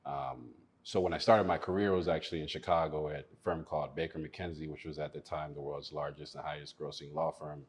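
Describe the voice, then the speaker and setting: A man's voice: extremely low pitch, steady tone, fairly quick, hardly any pauses, husky. A man from Malawi, an attorney in his thirties, chatting casually in an interview with many fillers and frequent laughs. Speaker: attorney and investor.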